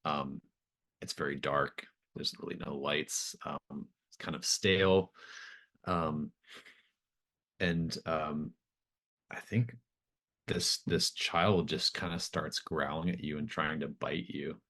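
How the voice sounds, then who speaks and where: Low-voiced male game master, halting delivery. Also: fairly even intonation, sharp tone. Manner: hesitant, halting phrasing, thinking aloud. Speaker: game master running a tabletop horror RPG. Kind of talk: improvised game narration.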